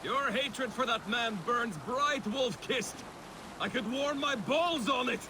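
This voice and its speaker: Game Viking shipmate, very high for a man, blunt, mocking, noisy water behind. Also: slow. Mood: grim banter. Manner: sardonic, needling. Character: blunt, mocking Viking crewman, loyal and crude. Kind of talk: video game cutscene acting.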